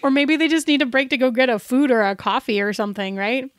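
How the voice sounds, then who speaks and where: A woman's voice: sits high, fast, no echo. A woman co-hosting a podcast, giving advice in a casual, chatty, conversational way. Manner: informal, chatty. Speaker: podcast co-host.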